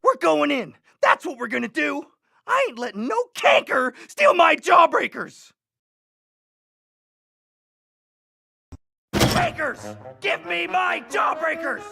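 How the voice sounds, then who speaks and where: A man voicing a scheming, hot-tempered cartoon boy with a brash, bossy, wisecracking delivery and a gravelly edge. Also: extremely high pitch, wildly swooping pitch, a little slow, full character voice. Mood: furious. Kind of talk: cartoon game voice acting. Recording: loud music behind.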